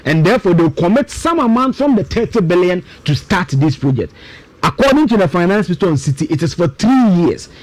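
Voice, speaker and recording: A Ghanaian man with a fairly high voice that swings widely as he explains. He is emphatic and repeats his points as an analyst would, slipping between English and Twi. The sound is dry and close. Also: sing-song, fairly quick, darkish tone, dry recording. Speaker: guest analyst. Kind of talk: animated explanatory radio discussion.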